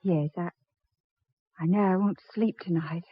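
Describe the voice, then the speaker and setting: Bereaved widow, vintage radio chiller: anxious, melodramatic, breathy, on a thin phone-like recording. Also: female voice, on the low side, unhurried, dry recording. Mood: weary, grateful. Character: grieving, anxious, devoted young-to-middle-aged widow. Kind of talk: 1940s radio horror acting.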